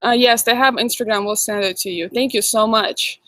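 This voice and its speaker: A woman's voice: a little high, steady tone, normal speed, fairly bright tone. Chatty Russian woman reading viewer comments. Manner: reads viewer comments aloud and answers chattily. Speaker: livestream host. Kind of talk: casual live chat Q&A.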